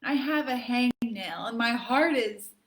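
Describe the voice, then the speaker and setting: A woman's voice: a little high, expressive, unhurried, a bit bright. A woman voicing a whiny little girl who theatrically fakes sickness, reading a storytime poem aloud. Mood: dramatic complaining. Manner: theatrical, plaintive complaining.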